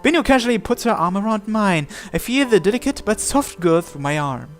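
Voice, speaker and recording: A man with a high-pitched voice narrates a visual novel, reading the story text aloud. Game music plays behind him. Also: animated, a little fast.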